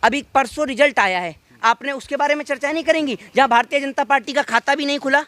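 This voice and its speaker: Male interviewee, high-pitched and argumentative, repeats points for emphasis, dry close sound. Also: fast talker, fairly bright tone, no echo. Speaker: interviewee arguing political views. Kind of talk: heated impromptu political street debate.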